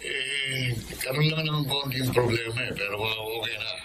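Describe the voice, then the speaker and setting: A man's voice: mid-range voice, moderate pace, a crowd in the background, close to an everyday voice. A man voicing a brutal hitman who cackles and sneers, in a radio drama. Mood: gloating. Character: brutal male hitman, gleeful and cruel.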